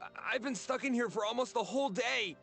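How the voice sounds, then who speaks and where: Young male squad member: very high, bright, pleading, nervous game role. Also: a little fast, creaky, faint music behind, exaggerated voice. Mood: sheepish. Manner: anxious, pleading.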